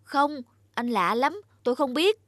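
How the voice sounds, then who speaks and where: Woman voicing an earnest, excitable young peasant man. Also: high-pitched, a little slow, no echo, studio quality, pitched above the performer's own voice, exaggerated voice. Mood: alarmed. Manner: exclamatory, earnest. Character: kind-hearted, excitable young male peasant. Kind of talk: cartoon folk-tale acting.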